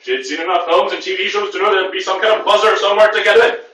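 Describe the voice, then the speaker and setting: High bright male reader in an echoey room. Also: steady tone. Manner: halting, self-correcting, exasperated asides. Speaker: reader at a writers' group reading. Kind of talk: reading prose aloud with casual asides. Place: echoey room with a group present. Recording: echoey room, distant from the mic.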